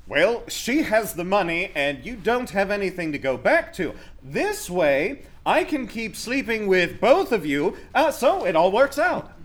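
Male actor as smug randy young prince, high swooping voice, pompous formal delivery, radio comedy. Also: very animated, measured pace, few pauses. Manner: pompous, mock-regal phrasing. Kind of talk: comedic stage-style radio play.

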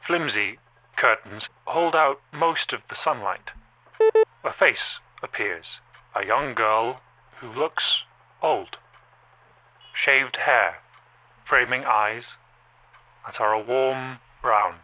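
Slow, detached male actor as a watchful machine. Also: medium pitch, lightly acted. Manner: deliberate, halting, monotone reporting. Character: male security camera, cold detached slow-thinking machine observer. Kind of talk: audio drama monologue. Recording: clearly noisy background.

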